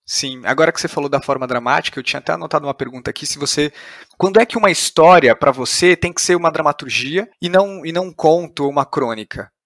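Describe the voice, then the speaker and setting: A Brazilian man with a fairly high voice interviews in a conversational tone. He builds his questions tentatively and in a roundabout way, recorded very dry with no room sound. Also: quick pace, a bit bright, no room sound. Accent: Brazilian Portuguese. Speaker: podcast host, interviewer.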